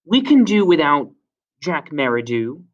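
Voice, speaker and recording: A man's voice: on the high side, very animated, unhurried, fairly bright tone, distant mic, lo-fi sound, pitched above the performer's own voice. A man voicing an indignant, earnest young boy who argues in colloquial, insistent fashion in a storybook reading.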